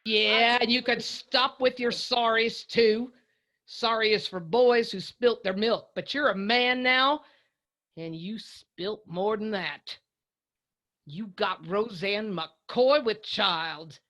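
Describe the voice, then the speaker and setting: A forceful, middle-aged mother in a radio drama. She speaks slowly and plainly, with a sharp edge to her scolding and care underneath it. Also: on the high side. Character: stern, plain-spoken mountain mother, middle-aged, scolding but caring.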